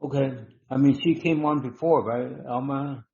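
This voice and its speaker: A man hosting a live online healing session, talking slowly and instructively, repeating words with many ums. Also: medium pitch, steady tone, gravelly, a little mellow. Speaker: webinar host and healer. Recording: dull roomy online call audio.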